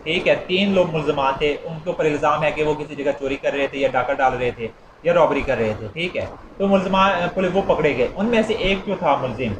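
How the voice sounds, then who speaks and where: A man's voice: on the high side, a little fast, hardly any pauses. A man gives an informal explanatory lecture, repeating points conversationally and illustrating them with everyday examples. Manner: repetitive, conversational teaching with acted-out everyday examples. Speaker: law lecturer. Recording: echoey room with clear background noise.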